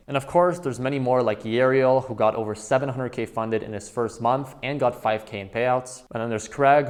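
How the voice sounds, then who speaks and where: Persuasive young man pitching. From Quebec, Canada. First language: French. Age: 20s. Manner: emphatic, persuasive, direct address to viewer. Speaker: trading educator. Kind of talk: promotional pitch to camera.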